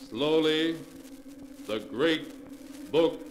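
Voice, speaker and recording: Slow spooky 1940s radio narrator man, dramatic and ominous, noisy old recording. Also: on the high side, expressive. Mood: slow, eerie. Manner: ominous, theatrical, drawn-out delivery. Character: ominous male storyteller of dark, terrible tales. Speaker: narrator of a horror anthology show.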